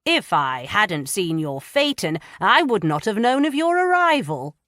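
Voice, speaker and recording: A woman's voice: low-pitched, slow pace, no room sound, clean studio sound. A woman voicing a pompous, testy male magistrate who browbeats and bellows.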